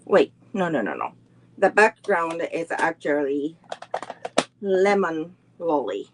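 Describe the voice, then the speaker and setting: A woman's voice: low-pitched, very animated, takes it slowly, noisy background. A middle-aged woman teaching a live online card making class, walking through each step unhurriedly and greeting viewers between steps.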